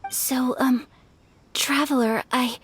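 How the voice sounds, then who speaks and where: A woman voicing a refined, earnest young noblewoman in a video game, speaking politely and softly with a shy, wistful temper. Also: high voice, steady tone, slow, breathy edge, heavily acted. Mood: shy, nervous. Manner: polite, soft-spoken, earnest. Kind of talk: English video game voice acting.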